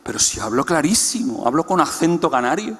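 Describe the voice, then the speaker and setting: A man's voice: on the high side, sing-song, fairly quick, fairly bright tone, husky. Man teaching in an echoing hall. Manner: explanatory, asks the audience questions. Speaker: conference speaker. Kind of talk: teaching talk to a church congress. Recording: long hall reverb.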